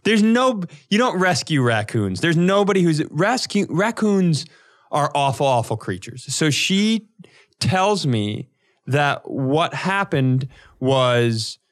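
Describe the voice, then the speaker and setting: A man co-hosting a podcast, telling comedic stories casually in an animated, sweary way and acting out dialogue. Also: fairly high pitch, slow pace. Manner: animated, profane, acts out quoted dialogue. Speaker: podcast co-host.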